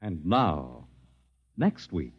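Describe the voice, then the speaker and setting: Slow, dramatic American radio announcer, male. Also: low-pitched, big pitch swings, slightly rough, dry recording. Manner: dramatic, deliberate. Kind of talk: 1950s radio narration, scripted. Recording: muffled old radio recording over music. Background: music.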